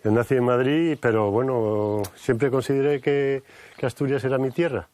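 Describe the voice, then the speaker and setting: A man's voice: mid-range voice, fairly even intonation, normal speed, a little mellow, dry recording. A retired man in his sixties, a sculptor and former engineer, chatting casually outdoors and repeating words as he thinks. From Spain. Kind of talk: casual chat. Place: outdoors on a village hillside.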